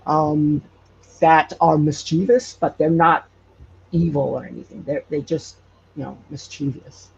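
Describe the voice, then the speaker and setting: A man chatting casually as an interview guest, often hesitating and pausing to search for words. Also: fairly high pitch, fairly even intonation, creaky. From Hawaii. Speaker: podcast creator, interview guest. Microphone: online call. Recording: muffled, noisy internet call.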